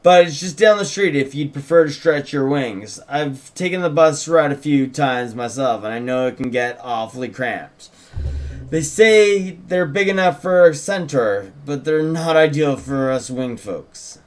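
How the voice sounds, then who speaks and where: A man's voice: fairly high pitch, expressive, a little slow. A man voicing a courteous, chatty young small-town deputy in a folksy, deferential manner at a script read. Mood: courteous, eager. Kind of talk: script table read, in character.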